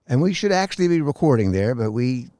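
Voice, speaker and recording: A man's voice: medium pitch, big pitch swings, quick pace, mellow, no room sound. A man in his sixties co-hosting a podcast in rambling casual chat, prompting his partner, recorded outdoors on a lakeside tower. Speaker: podcast co-host.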